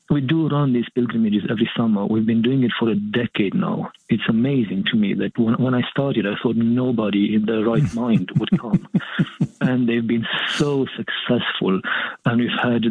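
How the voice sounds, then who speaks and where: A priest from Romania, a guest talking in a casual interview, thoughtful and often laughing. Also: male voice, mid-range voice, slightly rough, no echo. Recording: tinny phone line.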